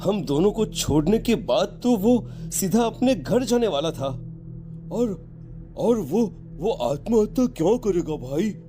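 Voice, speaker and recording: A bewildered young man voiced by a male actor in a horror cartoon, anxious and halting, repeating himself. His voice is quite high for a man, with prominent music behind it. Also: lively intonation. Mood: puzzled, troubled. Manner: halting, repeats words emphatically. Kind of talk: animated story voice acting. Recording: loud music under the voice.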